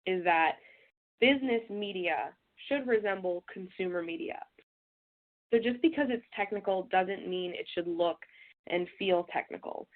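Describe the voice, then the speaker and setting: A woman walks an audience through a software webinar, her voice a little stuffy from a cold. She talks in a relaxed way and fills gaps with small fillers. The sound is thin and phone-like, as over a web call. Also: fairly low pitch. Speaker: presenter. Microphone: web call audio. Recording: tinny phone-like audio.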